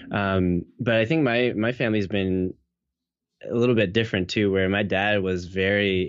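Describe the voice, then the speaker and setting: Young man, low voice, dull lo-fi sound. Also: dry recording. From Seattle, United States. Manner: relaxed, hesitant, many fillers and restarts. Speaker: guest. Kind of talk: casual interview chat. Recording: dull, lo-fi audio.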